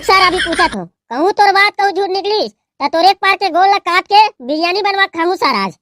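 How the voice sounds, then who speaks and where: A man's voice: extremely high pitch, expressive, normal speed, sharp tone, dry recording, clean studio sound, exaggerated voice. A man voicing a hot-headed young village man in a comedy cartoon, blustering and trading insults with a Chhattisgarhi accent. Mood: angry. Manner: blustering, insult-slinging banter. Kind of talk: comic cartoon dialogue. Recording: music bed under the dialogue.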